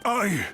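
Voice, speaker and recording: Highly strung retainer, animated intonation. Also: male voice, gravelly, slight background noise, heavily acted. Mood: chastened. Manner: formal, deferential, agitated. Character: highly strung, suspicious, dutiful male retainer. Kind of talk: English video game voice acting.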